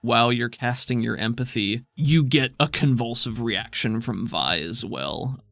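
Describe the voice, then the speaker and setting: A man running a tabletop game as game master, narrating in a relaxed, descriptive way. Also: mid-range voice, gravelly, no room sound. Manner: relaxed, descriptive, often slows down for imagery.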